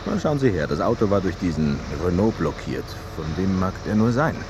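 A man's voice: low voice, very animated, a little fast, muffled recording. A male actor playing a sleep-deprived, wry neighbor who mutters and grumbles drily in a German radio drama. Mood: grudging, wry. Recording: clear background noise.

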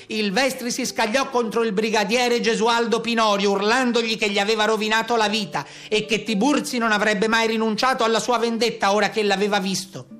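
A man's voice: high voice, fairly bright tone. A man playing an aggrieved carabiniere who gives a formal, report-like account in a theatrical monologue. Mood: grave, frustrated. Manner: formal, orderly report-like telling. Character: male carabiniere recounting a brigand manhunt, formal, aggrieved.

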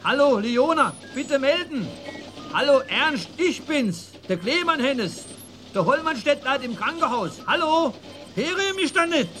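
A flustered provincial operator with a very high voice and a Saarland German accent, calling out in a radio play. Faint music sits behind him. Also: male voice, very animated, measured pace, fairly bright tone, exaggerated voice. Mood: anxious calling. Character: flustered provincial man calling a lost spaceship. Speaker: stand-in ground station operator. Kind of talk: radio drama acting in dialect.

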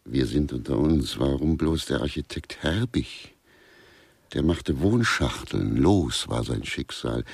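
A disdainful elderly man in a grotesque radio whodunit. The voice is extremely deep, full of creak and husk. He grumbles in a pedantic, deadpan way. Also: slow pace, no echo, full character voice. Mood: indignant puzzlement. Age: older. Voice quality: gravelly, husky. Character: Pelz, grumpy elderly male guest, disdainful of modern art. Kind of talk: German crime grotesque radio play dialogue.

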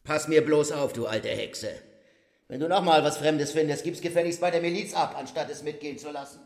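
An actor playing a foreign gentleman in a German radio play, speaking formally, courtly but ready to threaten. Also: a little high, a little fast, lightly acted. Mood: menacing. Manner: ornate, formal phrasing. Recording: roomy, echoing studio sound.

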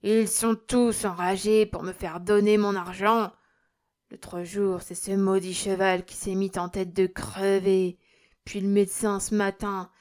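A miserly old fisherman from a storybook, acted out by a woman reader. He is gruff and ailing, stubborn to the bone. His grumble climbs to a shrill, defiant rage. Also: measured pace, lightly acted. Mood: indignant, stubborn. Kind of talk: audiobook character acting.

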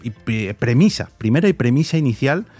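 A man's voice: mid-range voice, lively intonation, a little fast, a little mellow, slightly rough, no echo, faint music behind. Middle-aged Spanish man hosting a podcast, close dry sound. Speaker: podcast host. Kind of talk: conversational podcast presenting and interviewing.

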